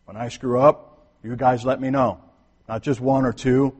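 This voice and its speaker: Husky-voiced male pastor preaching in a reverberant hall. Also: medium pitch, flat delivery, normal speed. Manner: conversational preaching, swings from soft to emphatic. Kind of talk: sermon. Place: church sanctuary.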